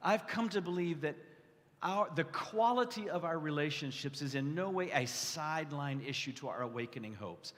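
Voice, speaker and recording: A man with a slightly high voice gives an earnest testimonial sermon. He talks conversationally, often restarting or repeating a phrase for weight. His words ring out with long reverb in a large hall. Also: expressive, moderate pace, a bit bright. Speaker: guest preacher. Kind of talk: testimonial sermon to a live gathering. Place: large indoor hall, addressing a gathering.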